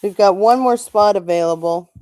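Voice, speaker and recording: A woman's voice: mid-range voice, moderate pace, a little mellow, no echo. A woman co-hosting a radio talk show, chatting casually with short, dry replies while in back pain. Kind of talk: casual on-air chat.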